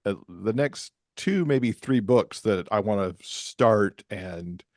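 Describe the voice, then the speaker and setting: A man's voice: on the low side, lively intonation, slow pace, darkish tone, no echo, studio quality. An American man from Michigan speaking casually as an interview guest, explaining things in a chatty way. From Michigan, USA. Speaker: podcast guest, romance author.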